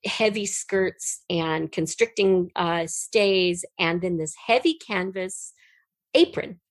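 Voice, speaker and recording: Emphatic, low-pitched woman storyteller. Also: slow pace, no echo. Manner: emphatic, candid, laughs readily. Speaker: interview guest, audio drama writer. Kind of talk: animated conversational storytelling in an interview.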